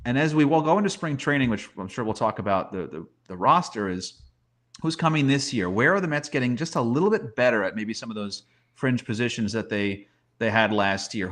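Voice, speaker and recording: A man's voice: lowish voice, a bit bright. A man giving opinionated, emphatic sports talk in a conversational way, often posing rhetorical questions. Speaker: sports commentator and guest.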